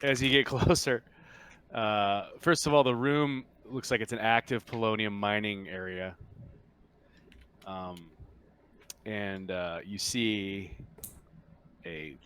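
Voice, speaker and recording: A man narrating a tabletop game casually as game master, explaining things in a halting, conversational way. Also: lowish voice, steady tone, fairly bright tone, clean tone.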